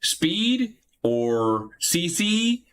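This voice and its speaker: A man's voice: high-pitched, big pitch swings, extremely slow, fairly bright tone, clear, resonant tone. A man with a Midwestern Chicago-area accent chatting casually as a gaming co-host, joking, swearing and poking fun at himself. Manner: joking, profane, self-deprecating.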